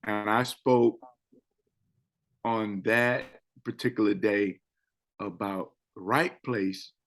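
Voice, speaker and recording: A man's voice: lowish voice, drawn-out words. A man who is a public speaking coach and co-host, chatting on a talk show and repeating phrases for emphasis. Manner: animated, swings loud and soft for effect. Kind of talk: casual show chat.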